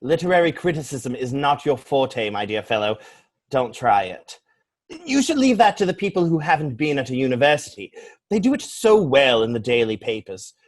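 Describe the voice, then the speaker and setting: A man playing a witty, flippant young English gentleman in a stage comedy reading, declaiming with pompous mock seriousness. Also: medium pitch, very animated, normal speed. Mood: smug, teasing.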